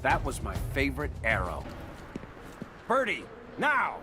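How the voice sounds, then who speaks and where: A man's voice: medium pitch, wildly swooping pitch, unhurried, over music. A man playing a cocky, wisecracking archer hero in a video game, flippant and casual.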